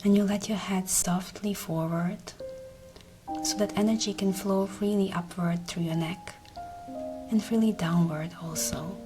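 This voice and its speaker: A woman narrating a guided meditation in a soft, breathy, often near-whispered voice, soothing and gentle. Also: mid-range voice, fairly even intonation, measured pace. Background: meditation music.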